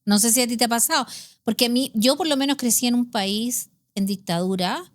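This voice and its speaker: A woman's voice: a little high, a little fast, no room sound. Chatty Chilean woman, dry close sound. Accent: Chilean Spanish. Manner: chatty, storytelling, frequent tag questions. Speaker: podcast host. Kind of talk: casual conversational chat.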